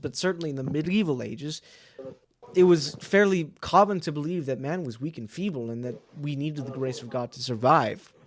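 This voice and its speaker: A man's voice: on the high side, a little hiss or hum. A man hosting a roleplay talk show over game voice chat, musing and rambling with frequent laughs.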